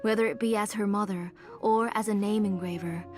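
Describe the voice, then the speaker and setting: An actress voicing a soft-spoken, caring mother in a game, earnest and gentle. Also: medium pitch, steady tone, brisk, faint music behind, close to an everyday voice. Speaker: mother and name engraver.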